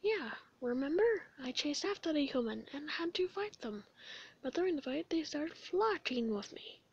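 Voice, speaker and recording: A woman voicing an earnest, caring, excitable male skeleton in an amateur comic dub, dramatic and formally phrased. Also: sits high, normal speed. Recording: dull, echoey room recording.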